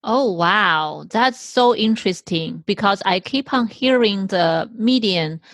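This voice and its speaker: A woman with a cold-roughened, hoarse voice, hosting an interview and politely asking questions. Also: medium pitch, lively intonation, takes it slowly, a bit bright, no echo. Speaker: podcast host. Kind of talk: conversational interview.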